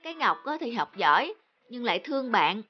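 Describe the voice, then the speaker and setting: A woman voicing an earnest schoolchild in an animated cartoon, speaking expressively. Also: on the high side, fairly quick, lo-fi sound, a little hiss or hum. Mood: grumbling. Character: earnest schoolchildren, classmates who tease and make up. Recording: music bed under the voice. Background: background music.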